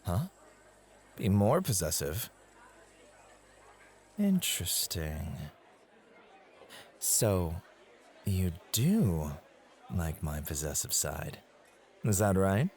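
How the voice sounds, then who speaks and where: A man's voice: sits low, sing-song, very slow, pauses often, a little mellow, heavily acted. A man playing a devoted, competitive and possessive club host in an intimate, teasing ASMR roleplay.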